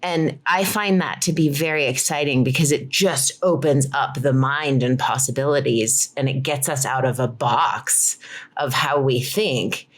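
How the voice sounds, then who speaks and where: A woman's voice: extremely low pitch, a little slow. A woman from Colorado, a physical therapist, explaining her work enthusiastically in a conversational interview over a video call. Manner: enthusiastic, explanatory, uses "like" often.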